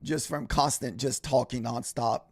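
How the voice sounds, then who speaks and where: A man hosting a trading live stream, chatting loosely with viewers and clearing his throat, his voice a little scratchy from talking all week. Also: medium pitch, fairly even intonation, a little slow, a little mellow, no echo. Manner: rambling, chatty, talks to viewers in chat. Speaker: trading livestream host. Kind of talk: casual live-stream chat.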